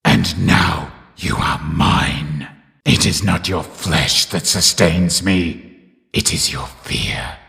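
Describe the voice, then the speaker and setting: A man voicing a menacing undead killer from a horror game, theatrical and deliberate, with a gravelly voice that turns breathy at times. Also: low-pitched, fairly even intonation, sharp tone, heavily acted. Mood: gloating menace. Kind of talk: horror game villain voice lines.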